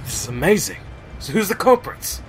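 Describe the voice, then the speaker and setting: An actor playing a plain-spoken pony police inspector in an audio drama, reporting in a blunt, matter-of-fact way. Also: male voice, high-pitched, huge pitch swings, moderate pace. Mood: astonished. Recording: loud rain-like noise behind voice.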